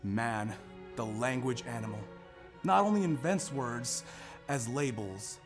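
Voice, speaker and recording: A man performing a scripted narration in a readers theater style, with emphatic, deliberate phrasing. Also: medium pitch, expressive, music in the background. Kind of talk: scripted narration, staged recitation.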